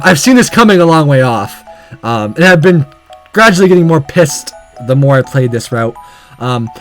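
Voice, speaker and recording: A game video host giving casual, opinionated commentary in a blunt, exasperated, halting way. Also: mid-range voice.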